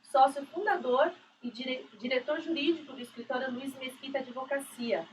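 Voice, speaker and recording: A woman's voice: sits high, fairly even intonation, normal speed. A woman, co-hosting a casual, chatty radio talk show. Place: radio studio. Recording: distant mic, some background noise.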